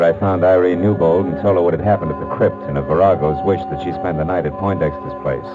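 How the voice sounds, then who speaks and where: An actor playing a tough, wisecracking private detective with an American accent in a 1940s radio noir, hard-boiled and wry. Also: male voice, deep voice, phone-quality sound.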